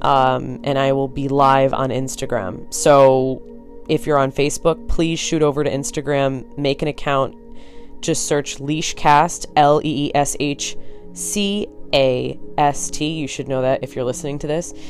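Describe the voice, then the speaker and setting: Connecticut-accented solo podcast host, tired, frank and rambling, medium pitch. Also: level tone, unhurried, faint music behind. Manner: blunt and frank, swears, rambling asides. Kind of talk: casual solo podcast talk.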